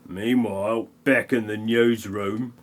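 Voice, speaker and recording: A man's voice: fairly low pitch, fairly even intonation, slow pace. A man narrating an amateur comedy audio play with wry, mocking asides.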